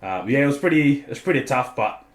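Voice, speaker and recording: A man's voice: mid-range voice, animated, quick pace, roomy sound. A man in his late twenties from Papua New Guinea with an Australian accent, telling his story as a podcast guest with frequent ums and restarts. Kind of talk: casual interview chat.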